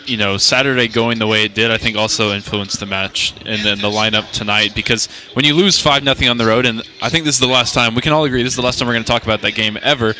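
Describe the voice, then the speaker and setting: Man, radio soccer broadcaster, rapid delivery, bright forceful tone, confident. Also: medium pitch, a little hiss or hum. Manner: quick, confident, building his argument point by point. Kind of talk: broadcast preview analysis.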